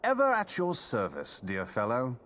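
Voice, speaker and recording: A gallant adventurer in a fantasy game. His mid-pitched male voice swings widely in intonation. He speaks eloquently, with courtly polish. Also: huge pitch swings, normal speed, pushed and bright, a little hiss or hum. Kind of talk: video game cutscene acting.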